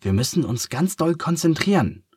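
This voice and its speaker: A man's voice: on the high side, normal speed, husky, no room sound, studio quality, voice pushed up, heavily acted. A man doing eager little elephant kids. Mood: excited. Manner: hushed and excited. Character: group of eager young elephant children.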